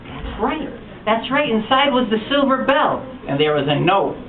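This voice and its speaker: A man reading a picture book aloud at a bookstore storytime, telling it with expressive animation. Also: high-pitched, moderate pace. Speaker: storytime reader. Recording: distant echoey lo-fi room recording with noise.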